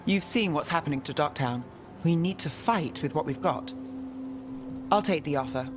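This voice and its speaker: A cynical woman investigator in a fantasy game. Her voice sits low and she speaks in terse, dry, guarded phrases, full of stubborn resolve. Soft music runs behind her. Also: lively intonation, normal speed. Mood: firm. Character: cynical, determined female mage detective in a fantasy city. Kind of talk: video game voice acting.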